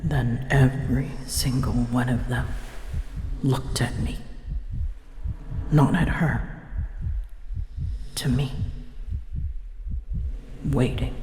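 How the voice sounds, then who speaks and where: A woman playing an ancient, proud, wounded female dragon in an ASMR roleplay, speaking in a breathy, often whispered voice with grave, halting pauses. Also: rumbling low, steady tone, mellow, exaggerated voice. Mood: pained, haunted. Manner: slow, halting, grave storytelling with dramatic pauses. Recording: echoey, long reverb, faint noise.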